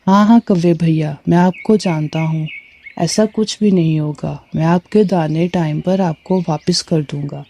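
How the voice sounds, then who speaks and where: A woman's voice: sits low, steady tone, unhurried, warm tone, a little hiss or hum. A woman playing a helpful, cautious male bird in a moral story cartoon, speaking earnestly. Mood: polite, pleading.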